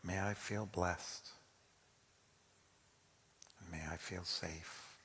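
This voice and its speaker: A man's voice: low voice, fairly even intonation, extremely slow, fairly bright tone, a little hiss or hum. A man speaking informally as a rabbi to his congregation in a large sanctuary. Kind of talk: informal address to a congregation, taking questions. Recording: echoey hall with long reverb.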